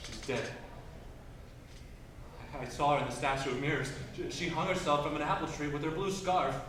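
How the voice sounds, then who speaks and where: Actor as halting, somber man, far off in echo. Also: on the high side, a little hiss or hum, close to an everyday voice. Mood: somber, numb. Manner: halting, repeats words. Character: somber male narrator, numbed by a young woman's suicide. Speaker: stage actor. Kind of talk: stage play acting and narration. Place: indoor stage, recorded from afar, music behind. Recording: distant echoey stage recording, loud music.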